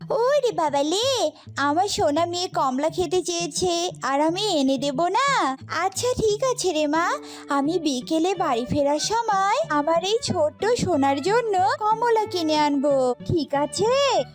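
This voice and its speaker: A woman's voice: very high voice, lively intonation, slow pace, soft background music, full character voice. A woman voicing a caring, mildly scolding mother bird in a cartoon, with fond, coaxing talk. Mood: doting.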